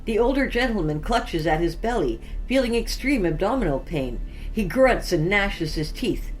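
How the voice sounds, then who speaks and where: A woman's voice: lowish voice, poor audio. A woman hosting a fiction podcast and reading scripted stories as narrator, with music behind her. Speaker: podcast host and story narrator.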